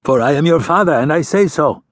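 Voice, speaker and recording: A man voicing a gruff, bossy older fisherman with a put-on who barks orders. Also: a little high, animated, brisk, dry recording, voice pushed up. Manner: barking orders, scolding.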